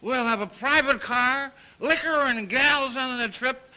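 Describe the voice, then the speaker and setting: An actor playing a tipsy, boastful American playboy, slangy and boisterous, in old comic-relief style. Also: male voice, very high voice, a little slow, sharp tone, heavily acted, poor audio. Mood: chummy, boastful. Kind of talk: old film-era comic-relief acting.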